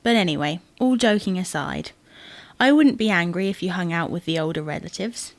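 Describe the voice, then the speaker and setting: A woman's voice: on the low side, a little fast, dry recording, natural voice. A woman playing a fussy, exasperated wife who bickers insistently in a domestic audio sitcom. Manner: bickering, insistent, theatrically indignant. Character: fussy, exasperated wife fretting over wedding clothes.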